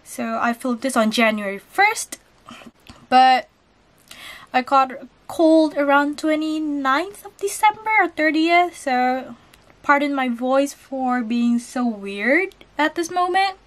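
A young woman with a cold, chatting excitedly as she unboxes and shows clothes in a haul video. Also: high-pitched, takes it slowly. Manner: chatty and excitable, chuckles at prices. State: has a cold. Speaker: channel host unboxing a lucky bag. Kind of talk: casual haul vlog voice-over. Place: indoors at a table.

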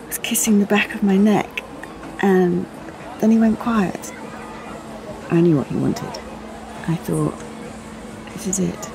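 Confiding young British woman, low and creaky. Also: poor audio. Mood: nostalgic. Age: young adult. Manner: confiding, intimate storytelling. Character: candid young woman recalling a teenage romance. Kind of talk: audio play monologue with dialogue.

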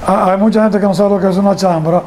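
An older man interviewing an elderly guest at home, asking clear, explanatory questions. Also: high-pitched, steady tone, quick pace, a little mellow, a little hiss or hum. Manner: clear, explanatory, leads with prompts. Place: living room at home. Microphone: lapel mic.

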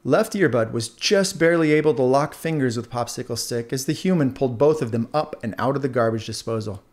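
A man narrating a scripted children's story aloud in an expressive storyteller's manner. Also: mid-range voice, fairly quick. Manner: expressive, animated.

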